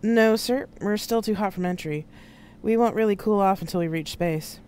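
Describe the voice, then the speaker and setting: A narrator voicing a crisp, deferential ship's officer reporting to a superior in an audiobook story. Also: mid-range voice, moderate pace, darkish tone, a little hiss or hum, pitched above the performer's own voice, close to an everyday voice. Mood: dutiful, alert. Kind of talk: audiobook character dialogue.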